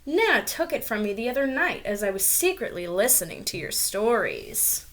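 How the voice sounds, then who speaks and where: A woman's voice: fairly high pitch, very animated, normal speed, a bit bright. A woman reading the part of an eager, confident boy hero in a children's storybook. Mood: earnest.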